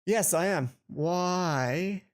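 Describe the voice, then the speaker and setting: A woman voicing a guarded, suspicious teenage schoolboy in a visual novel, speaking tersely, slowly and flatly. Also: on the low side. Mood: suspicious, challenging. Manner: terse, slow, flat.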